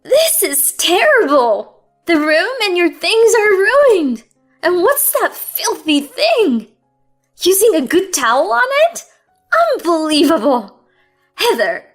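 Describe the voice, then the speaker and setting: A woman voicing a haughty, contemptuous mother-in-law who scolds in a high, loud voice. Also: big pitch swings, takes it slowly, soft background music, heavily acted. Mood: shrill scorn.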